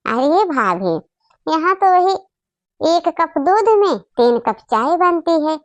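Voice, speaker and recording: Cartoon spoiled young wife visiting her parents, played by a woman: boastful, disdainful, high-pitched, dry close sound. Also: very animated, takes it slowly, no room sound, exaggerated voice. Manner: bragging, complaining. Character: boastful, snobbish young married daughter visiting home. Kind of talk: Hindi family comedy cartoon acting.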